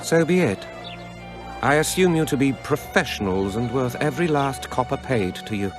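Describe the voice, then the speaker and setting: A man's voice: mid-range voice, animated, normal speed, over music, close to an everyday voice. An actor voicing an irritable, haughty man of a fantasy port town in a video game. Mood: irritated, grudging.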